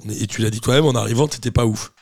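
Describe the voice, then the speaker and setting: A man's voice: mid-range voice, very fast, a little mellow, no room sound. A middle-aged man with a French accent hosting a casual chat, asking questions and telling anecdotes in a teasing, informal way. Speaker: podcast host.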